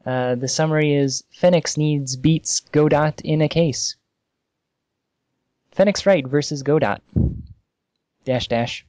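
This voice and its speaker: A man casually reading amateur fan fiction aloud on a podcast, halting and amused, with asides. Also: a little high, darkish tone, dry recording.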